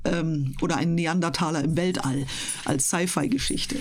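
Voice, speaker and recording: A woman's voice: low-pitched, steady tone, normal speed, faint music behind. A woman chatting casually as an interview guest, explaining things at length in a talkative, storytelling way. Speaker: interview guest, voice performer and live reader.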